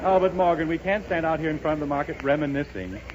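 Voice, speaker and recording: A man's voice: on the high side, fast, lightly acted. Man acting a chummy American househusband, noisy old radio. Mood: gushing, chummy. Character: chummy, put-upon househusband, gossipy shopper. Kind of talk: 1930s radio comedy acting. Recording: muffled, noisy 1930s radio recording.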